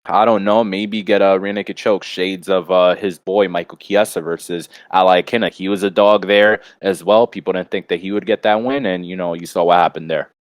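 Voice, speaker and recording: Low-voiced man talking fights casually. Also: fairly even intonation, moderate pace, hardly any pauses, no room sound. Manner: rambling, frequent "you know" asides. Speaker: sports betting podcast co-host. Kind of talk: casual fight analysis and betting commentary.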